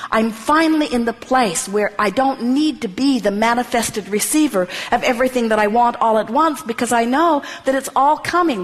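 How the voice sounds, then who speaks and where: A woman narrating a guided meditation of first-person affirmations, with measured, deliberate phrasing. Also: on the high side. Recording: long reverberant hall-like echo.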